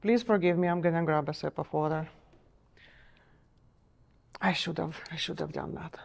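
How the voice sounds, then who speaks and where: A middle-aged woman teaching a step-by-step painting lesson in a TV studio, gentle and encouraging, her voice a little strained as she is losing it. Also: low voice, fairly even intonation, moderate pace, creaky. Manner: gentle, encouraging, checks in with "okay?". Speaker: painting instructor.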